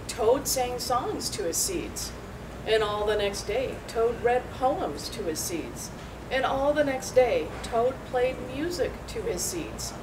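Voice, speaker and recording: A woman reading a children's story aloud in an expressive, deliberate storytelling manner. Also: fairly high pitch. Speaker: school staff member reading a story. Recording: distant mic, loud background noise.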